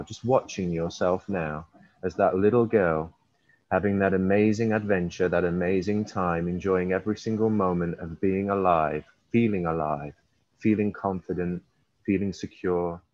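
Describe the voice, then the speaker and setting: Male hypnotherapist, low register, slow repeated suggestions stretched for emphasis, echoey room sound. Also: a little mellow. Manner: repetitive, drawn-out suggestive phrasing with emphasis. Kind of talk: guided hypnosis session. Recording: roomy, slightly dull recording.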